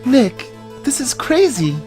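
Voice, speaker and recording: Man putting on an anxious high-pitched young woman. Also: sing-song, takes it slowly, a little mellow, soft background music, exaggerated voice. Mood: incredulous. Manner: worried, pleading. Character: anxious, girlish young female legal assistant.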